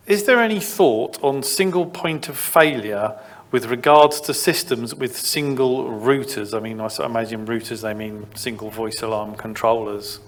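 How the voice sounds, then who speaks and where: A man's voice: mid-range voice, slight background noise. A man moderating an informal industry discussion, talking conversationally and feeling his way through his phrasing. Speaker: panel moderator.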